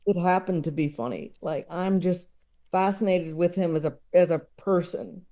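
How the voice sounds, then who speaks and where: A man speaking as an interview guest, casually discussing comedy in a halting, chuckle-prone conversational way. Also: high-pitched, warm tone.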